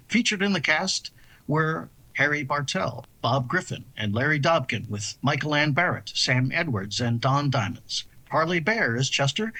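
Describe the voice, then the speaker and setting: A man's voice: fairly high pitch, pushed and bright, husky, heavily acted, poor audio. A man playing a polished, formal 1950s radio network announcer, reading scripted promotional copy. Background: music.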